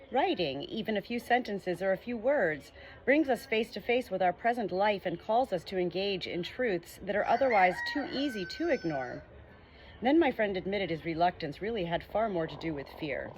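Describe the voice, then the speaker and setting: Female reader for a nonfiction audiobook, earnest and expressive, middle pitch. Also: a little hiss or hum, poor audio. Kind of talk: reading a nonfiction book aloud.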